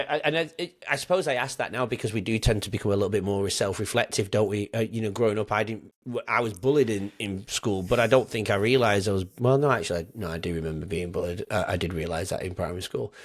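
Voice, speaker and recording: A man hosting a casual interview podcast, reflective and halting as he works his way into questions. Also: on the low side.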